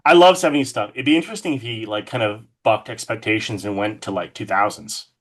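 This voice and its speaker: A man's voice: lowish voice, fast talker. A man chatting casually as a podcast guest, enthusiastic and loose with swearing. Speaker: podcast guest, horror artist. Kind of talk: casual interview chat about horror films.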